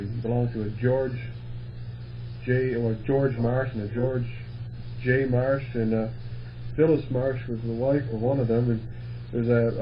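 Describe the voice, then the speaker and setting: Man, medium pitch, casual interviewer chat, dull old reel tape, bit of hum. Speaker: interviewer, local history researcher.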